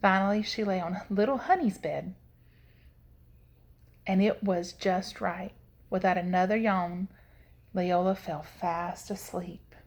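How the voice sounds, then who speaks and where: A woman storyteller giving an expressive read-aloud narration of a children's story. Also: lowish voice, takes it slowly, pauses often.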